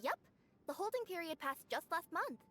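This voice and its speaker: High, lively young courier. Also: female voice, moderate pace, soft background music, exaggerated voice. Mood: earnest. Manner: upbeat, conversational. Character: friendly young female courier, cheerful and conscientious. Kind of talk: video game voice acting.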